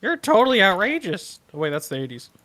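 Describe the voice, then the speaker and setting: A woman's voice: mid-range voice, very animated, fast talker, a bit bright. A woman giving casual, sarcastic, profane reaction commentary, with faint noise and clicks.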